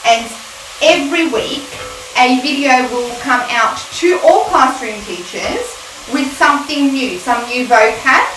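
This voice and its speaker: A woman's voice: high-pitched, lively intonation, a little slow, a bit bright. Chatty woman in a roomy kitchen. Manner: chatty, explains step by step with frequent ums. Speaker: Italian teacher. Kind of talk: casual cooking demonstration. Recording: roomy sound, mic at a distance, faint room noise.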